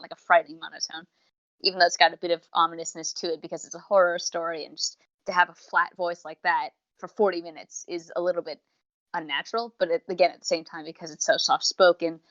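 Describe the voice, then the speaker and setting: A woman with a somewhat low voice speaks as a podcast co-host. She gives a casual, chatty review in long, wordy explanations. The recording is a little dull and lo-fi. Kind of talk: casual unscripted review chat. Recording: slightly dull, lo-fi microphone sound.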